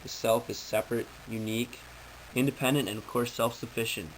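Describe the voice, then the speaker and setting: A man's voice: medium pitch, steady tone, fairly quick. Man giving a formal presentation, noisy recording. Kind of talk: prepared informational presentation, read out.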